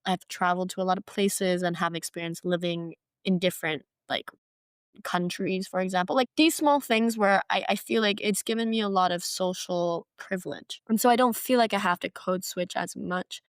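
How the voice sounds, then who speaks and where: A woman's voice: fairly low pitch, normal speed, clean tone, no room sound, clean studio sound. A young woman in her twenties from Hong Kong, talking reflectively as a podcast guest, often saying "like" and "you know". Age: 20s. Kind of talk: podcast interview.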